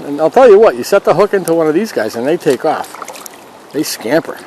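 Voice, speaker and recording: A man's voice: fairly high pitch, sing-song, moderate pace, darkish tone, low-quality recording. A man gives casual, folksy fishing commentary, chatting to viewers as he fishes outdoors. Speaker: fishing vlog host. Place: outdoors standing in a river. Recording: clear outdoor river and ambient noise.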